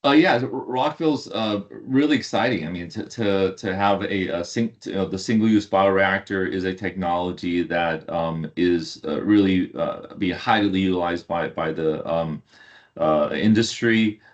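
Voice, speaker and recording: A man with a notably deep voice speaks as an executive on an online earnings call. He is halting and often repeats words as he explains things. The audio is dull and somewhat lo-fi. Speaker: company executive. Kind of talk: earnings call presentation and answers. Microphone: online conference call.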